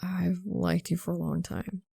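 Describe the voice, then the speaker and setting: Woman voicing a gruff, flustered college guy. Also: on the low side, level tone, dark tone, no room sound, clean studio sound, voice pushed down, exaggerated voice. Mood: awkward, confessing. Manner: halting, rambling, sheepish. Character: flustered, embarrassed young male college student confessing a crush.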